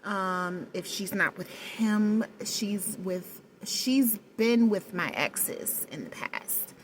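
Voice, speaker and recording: A woman with a low voice talking straight to the camera in a personal vlog, hesitant and searching for words, with many pauses and restarts. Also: animated, slight background noise, poor audio. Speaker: vlogger. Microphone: no external mic, camera mic.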